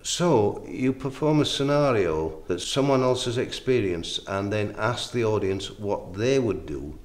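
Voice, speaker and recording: A man's voice: lowish voice, lively intonation, a little slow. A man working as an interviewer, putting questions and summing up answers.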